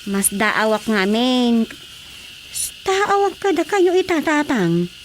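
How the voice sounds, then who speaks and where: High and very animated, a nagging Ilocano village woman in a radio comedy drama. She keeps pressing with questions. There is faint insect ambience behind her. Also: slow, slight background noise.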